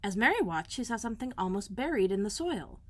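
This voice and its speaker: A woman librarian reading a children's book aloud in a gentle, clear storytelling manner. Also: medium pitch, big pitch swings, quick pace, dry recording. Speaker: school librarian.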